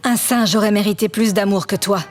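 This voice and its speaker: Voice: medium pitch, fairly even intonation, fairly quick, music in the background, lightly acted. An actress playing a cold, contemptuous analytical tormentor with a clinical, imperious way of talking in an audio drama. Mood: scornful. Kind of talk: scripted audio drama acting.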